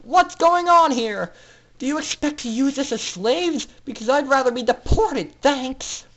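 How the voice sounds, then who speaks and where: Man as defiant young filly, pitched high. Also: lively intonation, measured pace, a bit bright, full character voice. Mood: defiant, angry. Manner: urgent, outspoken. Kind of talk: dramatic fan fiction reading.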